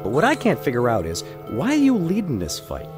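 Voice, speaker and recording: An actor playing a blunt, streetwise henchman with American wiseguy phrasing, questioning his boss in an animated fantasy drama. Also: mid-range voice, fairly quick, music in the background. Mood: questioning, deferential.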